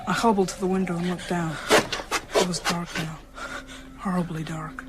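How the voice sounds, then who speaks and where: A woman's voice: fairly low pitch, flat delivery, normal speed, heavy background noise, natural voice. A woman playing a frightened, defiant young boy who narrates and acts in a tense radio drama. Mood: tense, fearful. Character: frightened but defiant young boy telling his ordeal.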